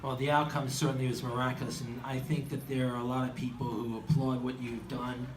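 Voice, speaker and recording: Man interviewing, medium-pitched voice. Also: little pitch movement, brisk, poor audio. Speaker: interviewer, aviation enthusiast. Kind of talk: earnest live interview. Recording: faint background noise, live event.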